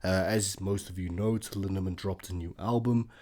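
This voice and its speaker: A man's voice: deep voice, fairly even intonation, normal speed, darkish tone. A man, an Afrikaans speaker, hosting a casual reaction video and thinking aloud with lots of fillers.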